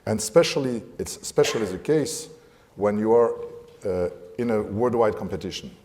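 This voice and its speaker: A man with a French accent speaking on a panel, often pausing and searching for English words. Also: lowish voice, expressive, darkish tone, slight background noise. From France. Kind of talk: panel discussion. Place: conference hall. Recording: reverberant conference hall.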